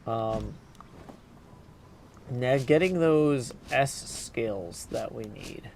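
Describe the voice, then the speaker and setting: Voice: mid-range voice, expressive, drawn-out words, darkish tone. A game streamer giving casual livestream commentary, muttering through choices and reading game text aloud, with noise behind the voice. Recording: clear background noise.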